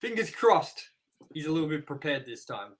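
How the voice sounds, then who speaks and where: A man's voice: on the high side, sing-song, normal speed, a bit bright. A man co-hosting a casual movie review chat, rambling with lots of fillers and "you know". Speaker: co-host.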